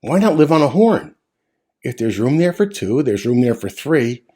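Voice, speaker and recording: A man's voice: mid-range voice, sing-song, normal speed, darkish tone, husky. A man voicing a bold, cheeky male bird in a storybook reading, chirpy and pushy.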